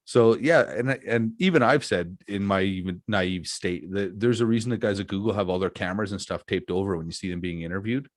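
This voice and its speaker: Forties male host, lower voice, dry close sound, loose rambling humor. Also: a little fast, few pauses, no echo. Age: 40s. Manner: jokey, self-deprecating, rambling. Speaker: podcast host, wrestler. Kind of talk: casual chat.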